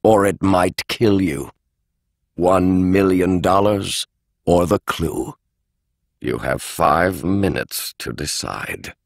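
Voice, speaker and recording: A man's voice: sits low, slow pace, gravelly, no echo, full character voice. A man reading a stiff, formal old lawyer. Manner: formal, precise. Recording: dry studio audiobook.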